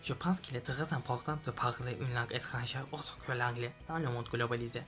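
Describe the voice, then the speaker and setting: A man's voice: medium pitch, fairly even intonation, a little fast. A young man, a native Turkish speaker, giving an earnest prepared answer as a language student. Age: young adult. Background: soft background music.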